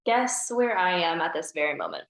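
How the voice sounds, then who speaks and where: A woman reading a guarded, sharp-tongued young woman in a dry, matter-of-fact way at a script table read. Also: on the low side, huge pitch swings, fairly quick, vocal fry, exaggerated voice. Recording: echoey room.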